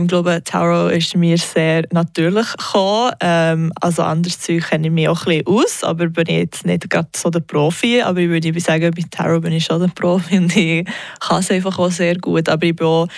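A young woman, a native English speaker, chatting casually in an interview about her tarot readings, relaxed and full of small hesitations. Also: fairly low pitch, creaky, no room sound. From Switzerland. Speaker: tarot reader.

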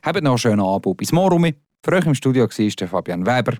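Swiss German radio presenter, easygoing, dry studio mic. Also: male voice, medium pitch, big pitch swings, fast, darkish tone, dry recording.

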